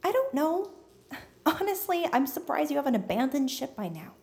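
Higher-voiced, bossy young woman. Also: sing-song, moderate pace, vocal fry, darkish tone, heavily acted. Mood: defensive, wounded. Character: bossy, earnest, chatty young woman, nerdy best friend. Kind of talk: naturalistic audio drama acting.

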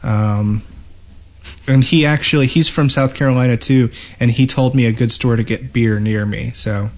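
Casual male podcast co-host, average pitch, conversational banter. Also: steady tone, normal speed.

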